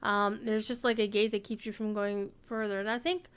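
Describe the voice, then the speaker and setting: A woman's voice: mid-range voice, little pitch movement, a little fast, a bit bright, clean tone. A woman streaming a game and chatting casually, thinking aloud and sometimes reading the quest text. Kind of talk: casual gameplay commentary. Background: faint game music.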